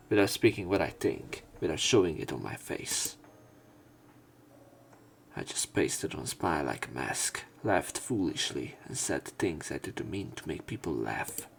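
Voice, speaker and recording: Low, gravelly man reading aloud. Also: slight background noise. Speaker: let's player. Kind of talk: reading visual novel text aloud.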